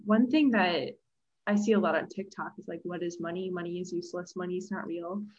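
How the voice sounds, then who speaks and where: A young woman guest on a podcast, speaking in a flat, thoughtful, casual way with lots of "like". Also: fairly low pitch, brisk, lo-fi sound, slight background noise. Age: young adult. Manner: monotone, thoughtful, says "like" often. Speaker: guest, economics content creator. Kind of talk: casual interview chat.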